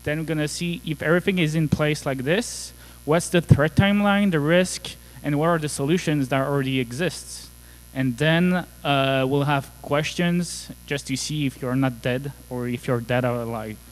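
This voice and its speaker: A man from France with a clear French accent talks through a technical topic at a conference. His voice sits a little high, and he jokes casually with plenty of "uh" and "like". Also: moderate pace, poor audio. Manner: casual, joking, filler-heavy. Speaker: conference speaker. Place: conference hall.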